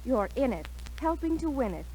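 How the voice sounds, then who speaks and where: Woman, American, higher voice, scripted interview answers, vintage radio recording, faint noise. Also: very animated, moderate pace, warm tone, poor audio. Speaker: Navy recruitment spokeswoman.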